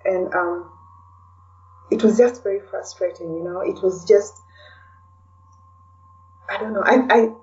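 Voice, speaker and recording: A woman's voice: medium pitch, steady tone, a little slow, pauses often, a little mellow. A middle-aged woman with a Kenyan accent answering interview questions in a reflective, hesitant conversational way, often adding "you know". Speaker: podcast guest, blogger and podcaster. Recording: distant mic, dull lo-fi audio.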